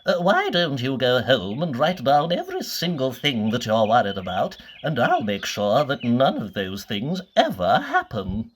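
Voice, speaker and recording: A storyteller puts on a helpful storybook wizard who hums and haws as he talks. The pitch swings widely, and the manner is kindly and bumbling. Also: wildly swooping pitch, a little slow, few pauses, breathy edge, full character voice. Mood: helpful, reassuring. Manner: bumbling, starts with "uh".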